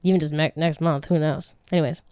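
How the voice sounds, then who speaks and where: A woman's voice: deep voice, steady tone, quick pace, darkish tone, clear, resonant tone, no room sound, studio quality. Chatty frank woman in her late twenties. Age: late 20s. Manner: chatty, frank, swears freely, laughs often, many restarts. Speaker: podcast host answering listener questions. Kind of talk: casual chat.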